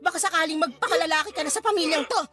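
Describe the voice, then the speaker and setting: A woman's voice: extremely high pitch, racing, bright, forceful tone, soft background music. An actress playing a feisty, fed-up Filipino mother in a radio drama, scolding her drunkard partner in a combative, emphatic way. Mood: furious, hurt.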